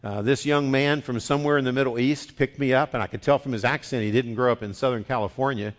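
Male pastor from West Virginia, folksy and conversational, middle pitch, slightly dull lo-fi sound. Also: steady tone, fast. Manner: folksy and chatty, repeats words when starting sentences. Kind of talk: sermon with a folksy story.

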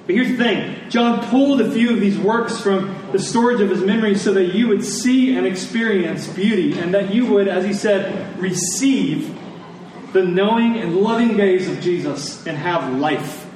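A man preaching a sermon in a conversational, deliberate way, telling stories and explaining scripture, pausing and repeating phrases for emphasis. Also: high-pitched, darkish tone. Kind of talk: sermon with storytelling and scripture exposition. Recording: echoey room, distant mic, faint noise.

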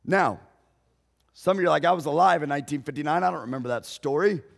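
A male pastor, telling a story in a sermon slowly and deliberately, raising his voice at dramatic moments. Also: on the high side, gravelly. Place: church sanctuary. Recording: long hall reverb.

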